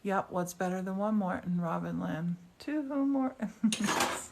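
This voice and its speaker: A woman's voice: fairly low pitch, little pitch movement, normal speed, creaky, a little mellow, slight background noise. A woman hosting a live demonstration in a glass studio, chatting casually and with enthusiasm. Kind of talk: casual live-stream chat and commentary.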